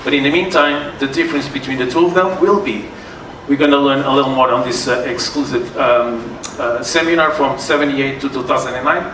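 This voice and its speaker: Brief: Portuguese-accented man presenting a seminar, halting delivery full of restarts, heard from a distance in a roomy hall with background noise. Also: medium pitch, fairly even intonation. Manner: halting, many fillers and restarts. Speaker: sommelier giving a wine seminar. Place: event hall with tasting tables. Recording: echoey room, far from mic, noisy.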